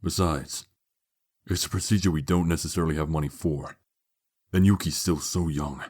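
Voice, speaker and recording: A man plays a caring, reserved father with a deep voice. He comes across as hesitant and protective in scripted audio drama dialogue. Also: moderate pace, darkish tone, slightly rough.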